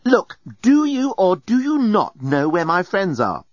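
A man's voice: on the high side, wildly swooping pitch, a little fast, fairly bright tone, dry recording, lo-fi sound, voice pushed up, heavily acted. A man voicing a prim, cross woman who speaks in a firm, formal, scolding way in an audiobook story. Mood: insistent.

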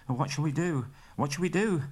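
A fretful little hobbit with a nervous, raised voice, as a male narrator plays him. There is a husky edge under the higher pitch. It is a storybook reading. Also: expressive, normal speed. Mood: anxious. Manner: anxious, fretful. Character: small anxious male hobbit.

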